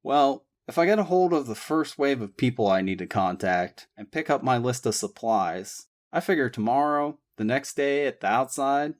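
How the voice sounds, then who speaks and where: A man voicing a confident ex-military man who speaks in an even, steady way. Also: mid-range voice, moderate pace, few pauses, close to an everyday voice.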